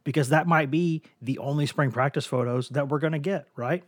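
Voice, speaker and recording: Hoarse man rambling on a sports podcast. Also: fairly high pitch, a little fast, no echo. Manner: rambling, restarts with repeated words. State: hoarse from a lingering cough. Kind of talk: casual sports talk.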